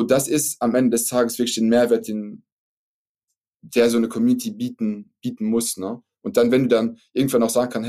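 This is a man with a Luxembourgish accent whose first language is French, chatting as a podcast guest. His voice is dark and mellow. He pauses often and circles back with fillers and restarts as he explains. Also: mid-range voice. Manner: halting, many fillers and restarts. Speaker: startup founder guest. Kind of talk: casual podcast interview.

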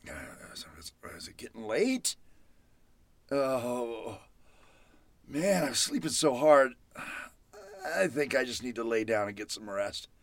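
A woman's voice: very deep voice, lively intonation, slow pace, no echo, heavily acted. An actress playing a frightened, groggy woman trapped at home, in old-time radio horror style, stammering and dramatic. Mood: drowsy. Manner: stammering, breathless exclamations, dramatic.

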